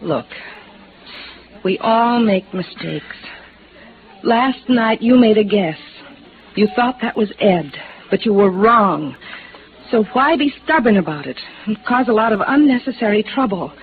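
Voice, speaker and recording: Coy young widow, noisy old radio. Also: female voice, mid-range voice, expressive, measured pace, long pauses. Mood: pleading. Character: lovely young widow, coy, evasive femme fatale. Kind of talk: old-time radio noir acting. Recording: muffled, noisy vintage radio broadcast.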